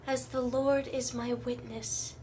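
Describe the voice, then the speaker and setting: Actress as a shy, high, slow-spoken young woman, hissy take. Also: little pitch movement. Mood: timid. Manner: timid, submissive, hushed replies. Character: meek, nervous young woman in training.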